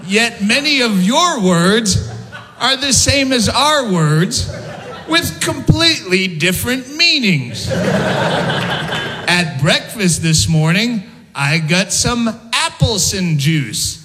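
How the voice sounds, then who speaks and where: An American man doing stand-up in a deliberate, mock-formal manner. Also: high voice, big pitch swings. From United States. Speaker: stand-up comedian. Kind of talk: stand-up comedy to a foreign audience. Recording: echoing hall with loud audience.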